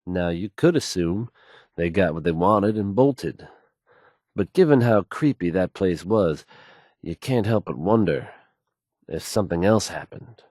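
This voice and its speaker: An actor playing a confident, smooth-talking radio DJ in a game, delivering fluent and assured announcements. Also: male voice, low-pitched, lively intonation, measured pace, a little mellow, no echo, clean studio sound. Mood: intrigued.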